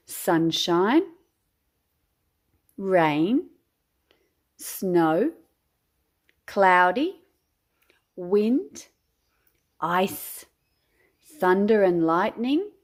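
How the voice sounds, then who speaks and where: A woman with an Australian accent reads a children's storybook aloud like a teacher at storytime. Her pace is very slow and deliberate, and her pitch moves up and down in a lively way for young listeners. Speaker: teacher reading picture books aloud.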